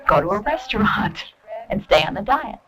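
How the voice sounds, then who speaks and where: Reflective woman, medium-pitched voice. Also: big pitch swings, moderate pace, a crowd in the background. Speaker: dieter giving a testimonial. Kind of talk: casual documentary interview. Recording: 1970s documentary film soundtrack.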